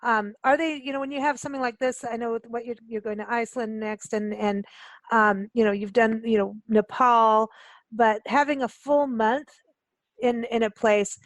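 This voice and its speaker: A woman with a fairly high voice hosts a casual radio interview. She is informal and effusive with her guest. The sound is dry, with almost no room around her. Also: steady tone, moderate pace, no echo. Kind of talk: casual chat.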